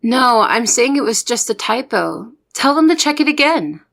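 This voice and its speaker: A young woman playing a soft-hearted, easily exasperated secret agent in an ASMR audio roleplay. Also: on the high side, big pitch swings, normal speed, creaky, exaggerated voice.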